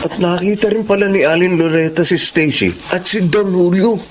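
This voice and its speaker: A man's voice: high-pitched, normal speed, loud music behind the voice, close to an everyday voice. An actor playing a concerned, frank male friend in a Filipino radio drama, with a Filipino accent.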